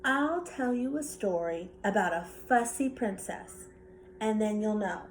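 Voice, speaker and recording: A woman puts on an exasperated big brother from a children's storybook, coaxing a younger sibling. The delivery is drawn out and slow, over faint background noise. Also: mid-range voice, expressive, natural voice, low-quality recording. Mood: patient. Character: little critter, exasperated older sibling coaxing his sister.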